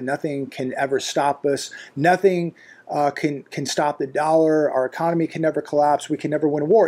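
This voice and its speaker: Fifties male vlogger, seated indoors at home, alarmed commentary; emphatic, repetitive, lots of fillers, slightly higher voice. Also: a little mellow. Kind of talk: unscripted news commentary monologue. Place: living room indoors.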